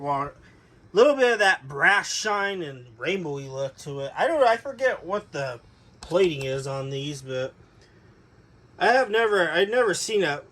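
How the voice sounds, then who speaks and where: A man with a somewhat high-pitched voice talks casually through a piece of gear he collects. His delivery is unscripted and rambling, and he corrects himself as he goes, like an informal hobbyist reviewer. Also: pushed and bright. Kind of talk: casual unscripted product overview.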